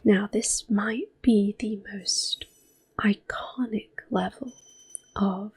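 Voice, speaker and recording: A woman giving gentle, hushed ASMR commentary on a video game, slipping between soft speech and whispers. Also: medium pitch, steady tone, takes it slowly, husky. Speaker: host playing a video game. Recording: soft game music behind. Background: quiet game music.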